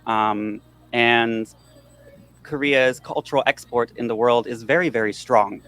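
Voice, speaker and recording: A man being interviewed, speaking in a measured, assertive way. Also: mid-range voice, steady tone, a bit bright.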